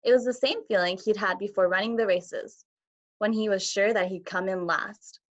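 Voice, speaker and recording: Female storybook reader, teacher, middle register, somewhat muffled low-fidelity recording. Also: steady tone, normal speed. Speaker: teacher reading aloud to children. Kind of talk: children's picture book read-aloud. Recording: dull lo-fi audio.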